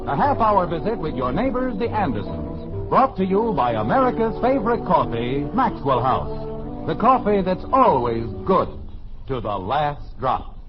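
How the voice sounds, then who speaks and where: A man's voice: on the high side, wildly swooping pitch, a little slow. A man narrating a script with wry polish in 1950s radio announcer style. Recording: muffled old radio broadcast with music. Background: music.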